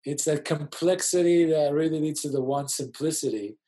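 A man's voice: fairly high pitch, moderate pace. A man, a musician guest talking in a casual interview and explaining things thoughtfully. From Israel. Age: 40s. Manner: thoughtful, explains gently with many ums.

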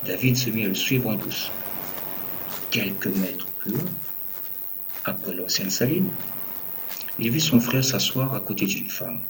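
A man narrating a scripted audio drama as a storyteller, in measured short phrases. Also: lowish voice, a little hiss or hum, rough recording. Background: music.

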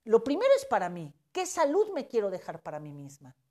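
A woman's voice: medium pitch, wildly swooping pitch, fairly quick, warm tone. A Mexican woman in her fifties giving an emphatic, preachy motivational talk on social media, with casual swearing. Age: 50s.

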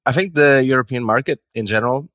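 A man's voice: mid-range voice, fast, fairly bright tone, dry recording, muffled recording. A man from Portugal with a Portuguese accent, speaking conversationally as an interview guest. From Lisbon, Portugal. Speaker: guest, video game character artist.

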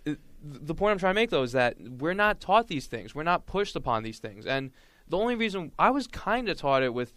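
A man's voice: a little high, sing-song, brisk, fairly bright tone, no room sound. Young male podcast host, earnest and reflective, clean resonant tone, dry close sound. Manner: earnest, reflective, speaks in long winding sentences. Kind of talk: casual chat.

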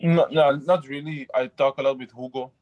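Halting footballer man, flat voice. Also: medium pitch, dry recording, muffled recording. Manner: halting, restarts often, simple phrasing. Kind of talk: interview answers.

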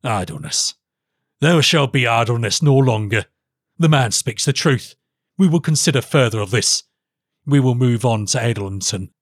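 A man voicing an imperious, quick-tempered king with a gruff, commanding and abrupt delivery, as in a storybook reading. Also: mid-range voice, animated, dry recording, clean studio sound. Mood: gruffly approving. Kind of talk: audiobook character voice.

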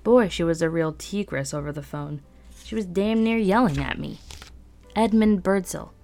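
A woman's voice: fairly low pitch, animated, normal speed, a little mellow, soft background music. A woman hosting a podcast, reading a novel aloud in first person with a conversational, wry storytelling manner. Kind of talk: first-person audiobook narration.

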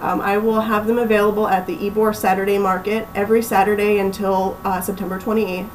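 A young woman from Pennsylvania, chatting casually as a small business owner in an interview, relaxed and full of ums. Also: medium pitch, a little fast, distant mic, a little hiss or hum, rough recording. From Pennsylvania, USA. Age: young adult. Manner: relaxed, chatty, laughs easily, many ums.

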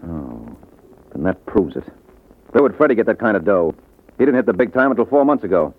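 An actor playing a wisecracking, confident private detective in a vintage radio drama. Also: male voice, fairly low pitch, sing-song, fairly quick, husky, heavily acted. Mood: probing. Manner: wry, confident. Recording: muffled narrow-band old radio, noisy.

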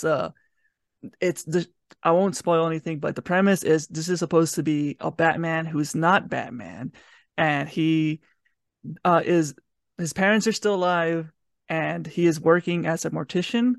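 A man's voice: a little high, normal speed, gravelly, dry recording, clean studio sound. A man co-hosting a podcast, giving opinions in a casual, hesitant, conversational way. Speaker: podcast co-host. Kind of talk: casual review chat. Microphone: online video call recording.

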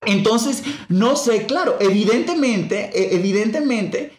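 A woman from the Dominican Republic with a Dominican accent, hosting a reflective discussion. She thinks out loud, restarts often and leans hard on key words. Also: on the high side, expressive, measured pace, echoey room. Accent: Dominican Spanish. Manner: thinks aloud with frequent restarts and emphatic stress. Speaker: podcast host.

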